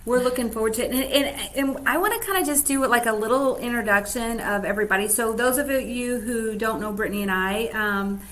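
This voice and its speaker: A woman's voice: on the high side, brisk, hardly any pauses, a bit bright, poor audio. A woman co-hosting a weekly live online show, introducing a guest in a rambling, casual way. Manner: rambling, many fillers. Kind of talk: casual livestream chat.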